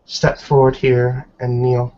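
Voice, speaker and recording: A stern werewolf elder acted by a man in a tabletop roleplay game. The words come slowly and solemnly, in an even, formal, judging manner. The sound is dull, as over an online call. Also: mid-range voice, lightly acted. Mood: commanding. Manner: grave, deliberate, formal pronouncements.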